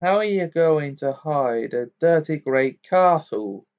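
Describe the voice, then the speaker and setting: A man's voice: a little high, animated, slow pace, darkish tone, highs cut off, voice pushed up. A man voicing a blunt, grumbling teenage schoolboy in a storybook reading. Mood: scoffing. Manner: blunt, grumpy.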